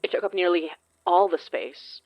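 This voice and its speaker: A woman playing a grave, commanding spirit investigator in an audio drama, speaking solemnly and deliberately. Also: fairly low pitch, no echo, dull audio, natural voice. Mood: solemn, measured.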